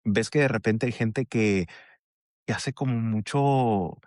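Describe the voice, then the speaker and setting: A man's voice: fairly low pitch, slow pace, darkish tone, no echo, studio quality. A man hosting a friendly podcast interview, talking in a reflective, conversational way and often giving short affirmations. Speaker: podcast host. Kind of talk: casual interview chat.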